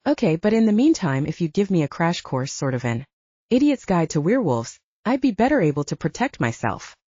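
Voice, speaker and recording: Lively, plucky young woman, as read by a narrator. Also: on the low side, a little fast, breathy edge, dry recording, highs cut off. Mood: persistent, teasing. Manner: wry, persistent. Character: plucky, argumentative young woman hiker with wry wit.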